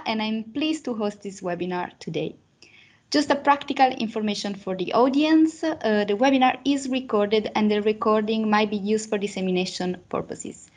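A woman hosting a webinar over a video call, giving a formal, polite, prepared welcome and introduction. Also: medium pitch. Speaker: webinar host. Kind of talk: scripted introduction.

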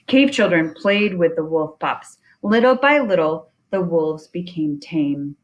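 A woman reading a children's nonfiction picture book aloud in a deliberate way, with chatty asides. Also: fairly low pitch, expressive, lo-fi sound. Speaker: reader of children's science book.

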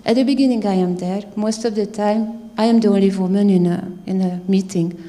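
A low-voiced woman in her fifties speaks on a stage panel through a handheld mic. Her tone is mellow and earnest, and a long hall reverb trails her words. She phrases things haltingly and sounds proud of her work. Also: fairly even intonation. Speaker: scientist panelist. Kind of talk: panel discussion. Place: theater stage at a panel event.

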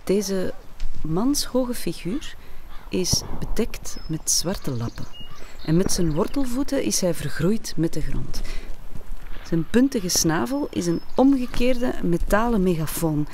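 A woman narrating an audio play for children and families, playfully speaking straight to the listener with deliberate pauses. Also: lowish voice, animated, dark tone, a little hiss or hum.

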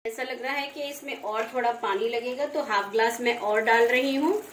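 Home cook, woman, fairly high voice, easy instructive delivery; roomy kitchen with frying sizzle. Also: moderate pace, slight background noise. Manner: gentle, instructive, conversational. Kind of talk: cooking demonstration voice-over. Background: sizzling frying pan.

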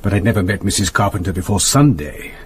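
A grave, gentle parish priest with a British accent. His voice is very deep and moves expressively, courteous yet firm, as heard in a radio play. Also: animated, quick pace, breathy edge, exaggerated voice. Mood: solemn, regretful. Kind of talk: British radio drama acting. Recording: noisy radio drama with ambience.

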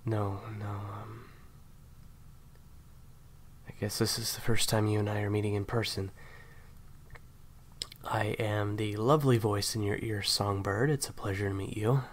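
A man acting in an intimate audio roleplay as a caring, awkward handler who speaks softly and haltingly to an injured partner. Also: fairly low pitch, steady tone. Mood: concerned, gentle. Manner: hesitant, halting, soft-spoken. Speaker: voice actor.

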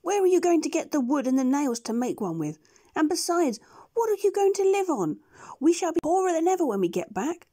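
A fussy duck who runs the household, voiced by a woman reading aloud, scolds in a high, sharp-edged voice. She is brisk and practical, never one to let foolishness pass. The sound is plain and close. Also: big pitch swings. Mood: scolding.

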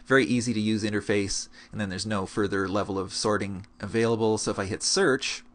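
A man with a fairly low voice talks through an on-screen demo. His delivery is relaxed and explanatory, with plenty of small hesitations as he clicks around. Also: a little fast. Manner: relaxed, explanatory, with many fillers. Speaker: game gold-making guide. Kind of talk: screencast walkthrough.

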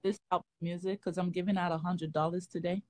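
A woman's voice: lowish voice, little pitch movement, fast, a little mellow, no room sound. A woman in her thirties hosting a casual video chat, asking playful, teasing questions. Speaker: comedy creator and host.

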